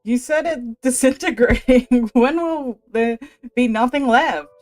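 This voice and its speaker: A woman streamer with a stuffy nose from a cold, chatting and joking casually while playing, with a low, slightly raspy voice for a woman. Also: measured pace, no room sound. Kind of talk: casual gameplay commentary.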